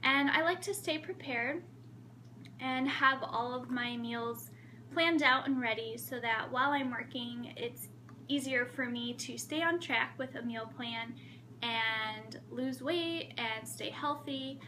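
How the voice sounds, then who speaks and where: A woman's voice: on the high side, slow, pushed and bright, a little hiss or hum. A woman in her thirties, a flight attendant, chatting casually through a how-to vlog with lots of ums, recorded on a camera in a small room at home. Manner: chatty, upbeat, with frequent ums.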